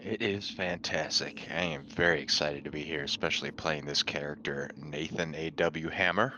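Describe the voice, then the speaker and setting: A man's voice: extremely low pitch, steady tone, moderate pace, lo-fi sound, noisy background. A man playing a tabletop game, casually introducing the character he will play.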